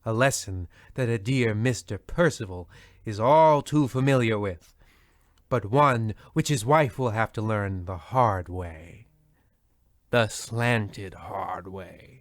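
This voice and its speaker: An actor playing a sinister, droll host who formally introduces an old-time radio horror comedy with mock-ominous relish. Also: male voice, lowish voice, takes it slowly, no room sound, studio quality.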